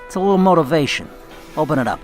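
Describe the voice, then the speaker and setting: An actor playing a cool, commanding mafia boss with dry, understated menace in a radio drama. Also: male voice, a little high, expressive, racing, music in the background, lightly acted. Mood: sly, sardonic.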